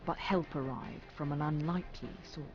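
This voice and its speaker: A man acting as a long-lived storyteller, narrating a young girl's tale in a measured, wistful, literary manner for a game. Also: a little high, fairly even intonation, lightly acted. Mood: solemn, wistful. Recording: dull audio under loud music.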